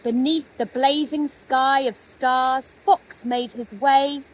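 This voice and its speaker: A woman narrating a children's story expressively, with gentle dramatic pauses. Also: high voice, steady tone, poor audio. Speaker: storybook reader.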